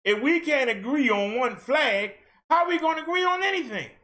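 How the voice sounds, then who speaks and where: A man rants on camera in a casual, colloquial way, pelting listeners with rhetorical questions. His voice sits high for a man and rings bright and forceful. Now and then he breaks into a shout. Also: expressive, moderate pace. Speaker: vlogger. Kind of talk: ranting social commentary.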